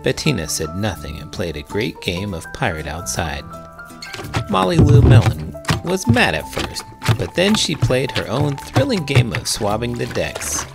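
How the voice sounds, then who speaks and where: A man's voice: medium pitch, wildly swooping pitch, unhurried, gravelly, loud music behind the voice. A man hosting a read-aloud, telling a children's storybook in a theatrical, playful way. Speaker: read-aloud host, teacher and writer. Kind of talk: expressive children's storybook reading.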